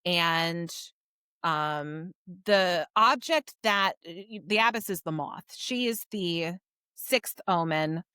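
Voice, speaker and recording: A woman's voice: lowish voice, slow, pushed and bright, clear, resonant tone, dry recording, studio quality. A woman co-hosting a podcast, recapping a story in an informal, conversational way. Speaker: podcast co-host. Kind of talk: casual book discussion, recapping a story.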